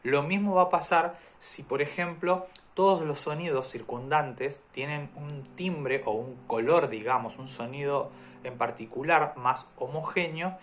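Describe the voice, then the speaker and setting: Calm man explaining music theory. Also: on the high side, measured pace. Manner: calm, methodical explaining with examples. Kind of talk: explanatory video lesson.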